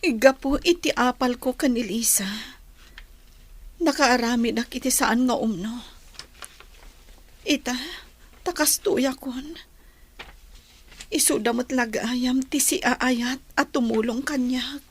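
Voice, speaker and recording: Woman playing remorseful daughter, high pitch, husky and breathy, slow confessional delivery, audio drama. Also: full character voice.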